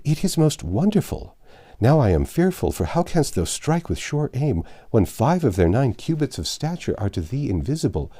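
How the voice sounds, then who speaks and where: An earnest young medieval damsel, as a male narrator puts her on, speaks in a storybook tale. The pitch is lifted and swings freely, and the delivery is reverent and archaic, full of devotion. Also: very animated, moderate pace, dark tone. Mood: fearful awe.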